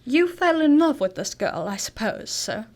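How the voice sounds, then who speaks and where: A woman's voice: sits high, sing-song, moderate pace, a little mellow. A woman acting a genial, loyal doctor and detective's companion in a radio drama, polite and old-fashioned.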